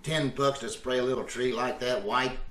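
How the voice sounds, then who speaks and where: A man's voice: mid-range voice, steady tone, moderate pace, fairly bright tone, slight background noise, natural voice. A man playing a blustery, indignant, scheming husband in old-time radio comedy, exclaiming and stumbling over his words. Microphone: video call. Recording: roomy, distant home video-call sound.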